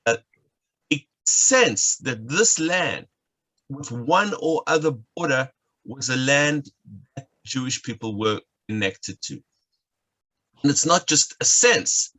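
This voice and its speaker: A man from South Africa with a South African accent, an educator giving a lecture over a video call, explaining in a brisk way with fillers. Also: medium pitch, animated, bright, forceful tone, low-quality recording. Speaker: educator and guide. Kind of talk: online lecture. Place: home, at night.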